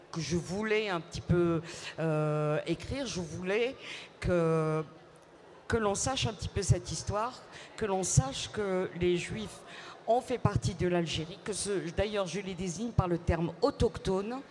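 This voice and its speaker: A woman author in an interview, answering in a reflective, measured way with frequent hesitations and repeated words. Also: fairly low pitch, a little mellow. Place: book fair at a city hall.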